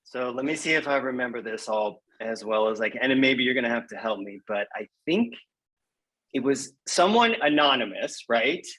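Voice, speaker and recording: Bright-voiced man, frank and wry. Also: mid-range voice, lively intonation, normal speed, husky, poor audio. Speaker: guest poet. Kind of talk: casual conversational interview.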